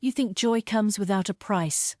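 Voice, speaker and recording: A woman's voice: mid-range voice, moderate pace, a little mellow, dry recording, studio quality, natural voice. A woman playing a scheming, imperious villainess in a grand, theatrical manner at a play table read. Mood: sly, probing. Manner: grand, dramatic. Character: scheming, imperious villainess Madeline, sly and menacing.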